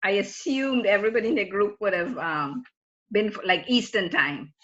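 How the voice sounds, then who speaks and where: A woman's voice: mid-range voice, lively intonation, normal speed, a bit bright. A woman from Trinidad, a student chatting casually with classmates on a video call, often laughing and restarting her sentences. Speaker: student in group meeting. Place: home in Florida. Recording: muffled lo-fi video call audio.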